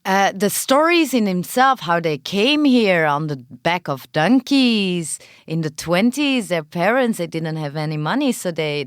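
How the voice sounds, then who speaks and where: A woman playwright and film director, talking earnestly in an interview and pausing often to find her words. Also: on the low side, no echo, clean studio sound. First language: not Hebrew. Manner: earnest, searching for words with frequent pauses. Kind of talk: podcast interview conversation.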